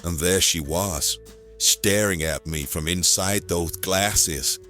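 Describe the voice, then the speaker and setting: A man playing a hapless, hard-boiled character with wry, slangy deadpan delivery, narrating and acting in a noir-style audio play. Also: deep voice, takes it slowly, faint music behind, exaggerated voice. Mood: wry narration.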